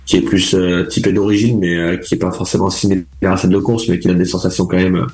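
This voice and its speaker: Deep-voiced hesitant racer in a roomy space. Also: male voice, little pitch movement, quick pace, a little mellow. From France, raised in Charente from age four. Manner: hesitant, many fillers and restarts. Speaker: endurance motorcycle racer being interviewed. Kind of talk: casual interview answers. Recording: roomy, echoey sound.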